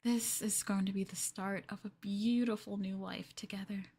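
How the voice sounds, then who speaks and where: A woman's voice: medium pitch, steady tone, normal speed, mellow, husky. A woman playing an obsessively possessive yandere girlfriend in an ASMR roleplay, cooing and coaxing. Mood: sweetly sinister. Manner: cooing, coaxing, with murmurs and giggles.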